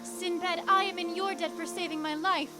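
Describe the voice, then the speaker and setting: An earnest widow heroine acted by a woman, extremely high in pitch. She speaks formally and pleadingly in a radio drama, with loud music underneath. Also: fairly even intonation, normal speed. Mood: earnest, grateful.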